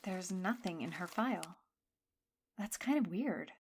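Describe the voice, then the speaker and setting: A woman's voice: fairly low pitch, animated, unhurried, a little hiss or hum. A woman playing a wry, understated teenage schoolgirl in an audio drama. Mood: deadpan. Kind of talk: scripted audio drama dialogue.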